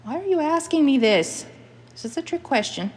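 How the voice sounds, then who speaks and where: A woman's voice: high-pitched, expressive, moderate pace, a little mellow, pitched above the performer's own voice. A woman voicing an exasperated older Scottish mother with a thick accent. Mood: incredulous.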